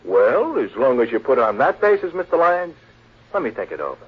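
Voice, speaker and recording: Husky cocky american tough guy, old radio crime drama, slangy, kinda dull sound. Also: medium pitch, big pitch swings, a little fast. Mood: cocky, sly. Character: cocky, slangy, hard-boiled male crook or private eye.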